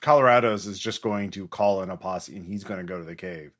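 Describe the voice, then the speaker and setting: Low-pitched man running a tabletop game. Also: fairly quick, a bit bright. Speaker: game master. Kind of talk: narrating a tabletop role-playing game.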